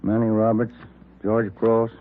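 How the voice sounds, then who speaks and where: An American actor playing a laconic, wry police detective in a 1940s radio drama, talking in a casual, understated way. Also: male voice, on the low side, flat delivery, measured pace, a little hiss or hum, natural voice. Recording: narrow-band old radio recording.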